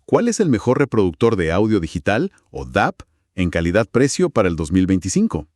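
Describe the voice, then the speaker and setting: Male podcast co-host, lowish voice, animated swings in pitch, dry close sound, explanatory delivery. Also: a little fast, dry recording. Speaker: co-host of an audio gear review discussion. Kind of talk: conversational podcast-style explainer.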